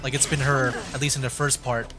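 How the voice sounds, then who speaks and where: A man's voice: mid-range voice, flat delivery, fairly quick. A man doing casual gameplay commentary as a streamer, rambling and thinking aloud. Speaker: video game streamer. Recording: game music clearly behind the voice.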